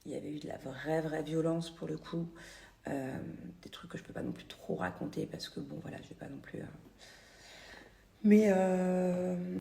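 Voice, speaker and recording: Chatty woman with a gravelly edge. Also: deep voice, expressive, normal speed, warm tone. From Paris region, France. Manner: chatty, many fillers and restarts. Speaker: vlogger. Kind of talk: casual storytelling to followers. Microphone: phone.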